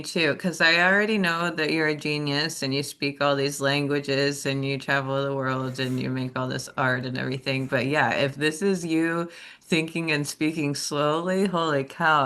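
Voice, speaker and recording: A woman's voice: sits low, pushed and bright. A woman hosting a casual live stream, talking in a chatty, enthusiastic and rambling way. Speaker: host.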